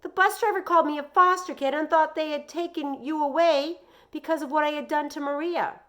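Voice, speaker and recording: A wound-up young boy played by a woman reading a storybook aloud, pitched well up and pushed loud. He blurts out his grievances insistently, one tumbling into the next. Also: steady tone, fairly quick. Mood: upset. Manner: shouting, insistent, breathless run-on complaints.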